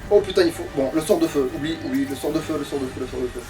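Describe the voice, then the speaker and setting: A man's voice: fairly high pitch, racing, over music. A man chatting casually through a video game, swearing and muttering as he repeats himself. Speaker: let's player. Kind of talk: casual gameplay chat with swearing. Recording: distant mic, faint background noise.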